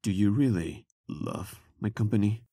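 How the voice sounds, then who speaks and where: Man as a stoic outcast, low husky voice. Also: no room sound, clean studio sound, exaggerated voice. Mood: weary, deadpan. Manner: deadpan, formal, measured. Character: stoic, world-weary young outcast man, prince's dry companion. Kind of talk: comedic parody dub acting.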